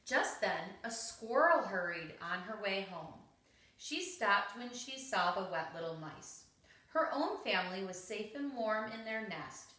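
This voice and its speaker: A woman's voice: mid-range voice, expressive, a little slow, pushed and bright. A woman reading a children's story aloud, expressively and with dramatic emphasis. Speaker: storybook reader. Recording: roomy, echoing home recording.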